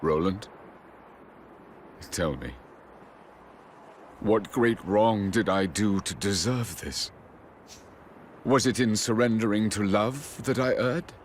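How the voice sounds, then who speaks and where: A man's voice: on the low side, slow, pauses often, slight background noise. An actor playing a proud, regretful fallen king in a video game, speaking in solemn, archaic, theatrical phrases. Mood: anguished, bitter.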